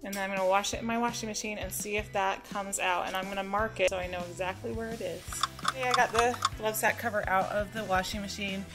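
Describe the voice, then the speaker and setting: Female home-cleaning vlogger, average pitch, informal chatty delivery, music clearly behind her. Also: fairly even intonation, fast talker, hardly any pauses. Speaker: cleaning vlog host. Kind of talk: casual product-test voice-over.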